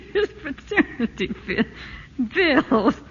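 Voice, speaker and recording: An actress playing a fussy, witty suburban wife and mother in a 1940s radio play, precise with her instructions and quick to laugh. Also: sits high, lo-fi sound, exaggerated voice. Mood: teasing. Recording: hissy old radio broadcast.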